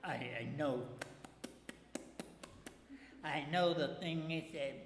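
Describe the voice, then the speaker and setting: A man voicing a cautious, neighborly family beaver with a halting, repetitive way of warning. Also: a little high, roomy sound, in a large hall, a little hiss or hum. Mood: wary.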